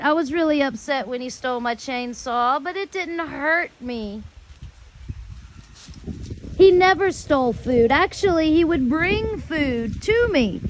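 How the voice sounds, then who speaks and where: An American woman telling rambling personal stories in drawn-out, halting phrases with long pauses. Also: high voice, a little hiss or hum, low-quality recording. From United States. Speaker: storyteller host.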